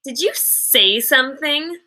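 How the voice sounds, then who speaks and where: Woman as storybook schoolgirl, haughty, nonstop gabber, very high pitch, bright tone, gushing delivery. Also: expressive, takes it slowly, exaggerated voice. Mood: indignant, sarcastic. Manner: gushing, run-on chatter full of "like". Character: chatty, haughty fifth-grade girl who gabs nonstop.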